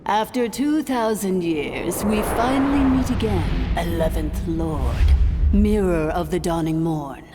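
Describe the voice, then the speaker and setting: A solemn ancient priestess in a game, her formal lines drawn out slowly and gravely. Her voice carries a long reverb, with music faintly behind it. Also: fairly low pitch, expressive. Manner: slow, grave, formal speech. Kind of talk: game cutscene dialogue.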